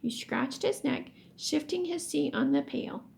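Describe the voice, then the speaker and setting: A woman reading a children's book aloud expressively, as a teacher would, pausing to explain words. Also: sits high, creaky. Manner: animated storytelling, pauses to explain words.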